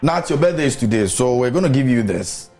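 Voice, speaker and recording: A man's voice: medium pitch, lively intonation, fairly quick, vocal fry, darkish tone, faint music behind. A man hosting a morning TV show, chatty and teasing, chatting casually with a guest in a studio. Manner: chatty, teasing, laughs often.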